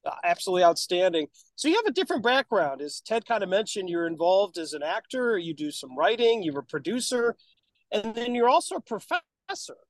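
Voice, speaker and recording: A man's voice: high-pitched, big pitch swings, fast talker, dry recording. A man co-hosting a podcast, chatting casually and asking interview questions. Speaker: podcast co-host.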